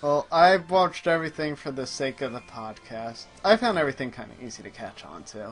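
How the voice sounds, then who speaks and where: A man's voice: mid-range voice, lively intonation, fairly quick, breathy edge, soft background music. Distracted man chatting while gaming. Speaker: gaming show host playing the game. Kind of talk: casual chat while playing.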